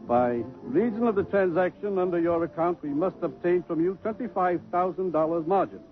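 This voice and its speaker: A slick, mercenary businessman talks down to everyone in a high, smooth voice, played by a man. He sounds glib and self-satisfied. The audio is tinny and old, with faint music behind. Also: normal speed, natural voice. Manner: glib and condescending.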